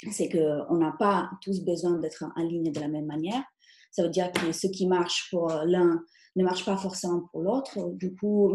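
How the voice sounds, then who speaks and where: A woman's voice: on the low side, dark tone, reverberant. A woman with an Italian accent co-presenting a live webinar, speaking with light hesitations and frequent laughs.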